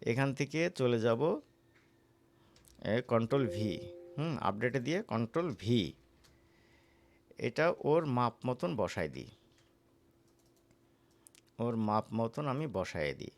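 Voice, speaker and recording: A man with a Bangladeshi accent giving a casual, conversational software tutorial as an instructor, often checking in with the listener. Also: medium pitch, big pitch swings, takes it slowly, long pauses. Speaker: animation course instructor.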